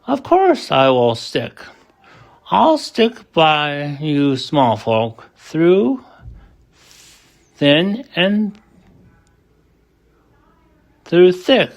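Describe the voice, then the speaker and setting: A kindly, devoted elephant from a children's storybook, as a reader puts it on. The delivery is earnest and heartfelt, drawn out slowly, with very animated pitch. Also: gravelly, full character voice. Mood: loyal. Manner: pleading, heartfelt exclaiming.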